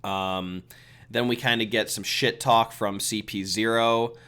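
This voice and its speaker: A man who is a little sick hosting a relaxed, chatty solo review, giving his opinions with lots of fillers. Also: lowish voice, unhurried, clean tone. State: sick with a cold. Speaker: video host reviewing a comic chapter. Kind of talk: casual solo commentary.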